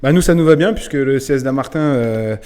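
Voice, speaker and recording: A man's voice: mid-range voice, a little fast, darkish tone. A man hosting a club channel interview, friendly and hesitant, with many fillers. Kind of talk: casual interview. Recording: reverberant room.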